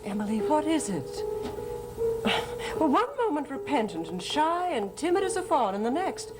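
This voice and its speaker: A woman's voice: high-pitched, big pitch swings, normal speed. A woman playing a grand, mocking stage actress in a gothic soap opera, with affected, condescending drawled delivery. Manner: drawling endearments, arch and condescending. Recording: dramatic music behind the voice.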